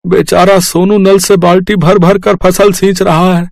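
Ageing farmer father played by a man for a cartoon moral tale: kindly, practical, homely, emphatic, higher-pitched. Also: level tone, a little slow, creaky, a little mellow, no room sound. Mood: worried. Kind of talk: cartoon moral story dialogue.

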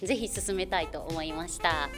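A woman's voice: on the low side, normal speed. A woman in her twenties giving polite, positive interview answers, with music playing behind her. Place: meeting room indoors. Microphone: handheld interviewer microphone. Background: background music.

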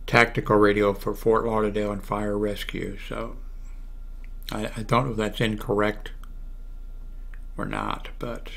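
An American man in his seventies giving a casual, unscripted computer walkthrough, haltingly thinking aloud. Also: on the low side, little pitch movement, vocal fry, fairly bright tone. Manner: halting, thinking aloud while clicking through pages. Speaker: video blogger giving a how-to demonstration. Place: small room at home, indoors. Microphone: large desktop microphone close to the mouth.

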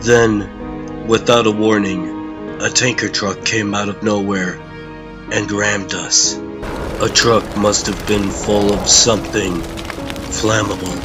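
A man playing a somber crash survivor, narrating his tragedy in a measured, brooding way for a comic dub. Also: fairly low pitch, steady tone, over music. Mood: somber, reflective.

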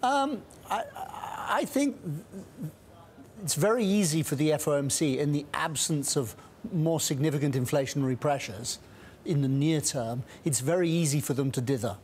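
Middle-aged male guest, brisk and restart-prone, a touch high, lapel mic in a studio with faint hum. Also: darkish tone. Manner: brisk, many restarts and hedging fillers. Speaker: guest strategist. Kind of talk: studio interview.

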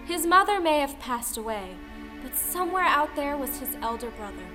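A woman with a high voice narrates a folktale. Her reading is dramatic and scripted, set over faint music. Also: animated, normal speed, pushed and bright. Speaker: audio play narrator.

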